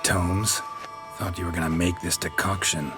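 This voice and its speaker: An actor playing a stoic, sardonic monster hunter in a video game, talking in terse, deadpan, clipped phrases. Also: male voice, low voice, moderate pace, lightly acted. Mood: dryly wry. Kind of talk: video game dialogue acting. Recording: music behind the voice.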